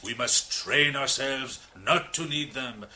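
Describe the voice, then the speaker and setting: An actor playing a big, expansive, reformed French criminal in a radio drama, with a French accent and an effusive, theatrical manner. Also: male voice, mid-range voice, slow, bright, forceful tone, slight background noise. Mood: chatty, animated. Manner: effusive, theatrical, with hesitant asides.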